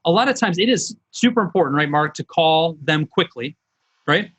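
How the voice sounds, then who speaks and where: A man's voice: fairly high pitch, expressive, normal speed, fairly bright tone, husky. A middle-aged man, a business coach, presenting online in an informal, folksy, conversational way.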